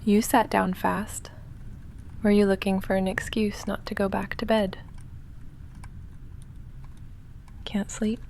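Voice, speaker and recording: A woman playing a young goth vampire roommate in an ASMR roleplay, speaking softly and often whispering in a dry, halting, self-deprecating way. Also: mid-range voice, slight background noise. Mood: dry teasing. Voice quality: soft, often whispered, breathy fry.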